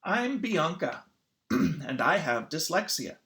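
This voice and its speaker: Someone reading a children's picture book aloud in an expressive, teacherly way. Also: mid-range voice, slow. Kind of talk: children's read-aloud narration.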